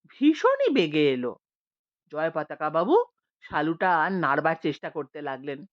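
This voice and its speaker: A woman narrating a comic story expressively, with theatrical emphasis and dramatic pauses. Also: mid-range voice, highs cut off.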